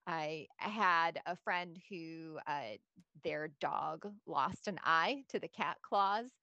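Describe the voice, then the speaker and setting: A woman's voice: deep voice, takes it slowly, bright, forceful tone, no echo, muffled recording. A woman from Bosnia chatting casually as a podcast guest, joking and self-deprecating. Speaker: guest, pet product founder.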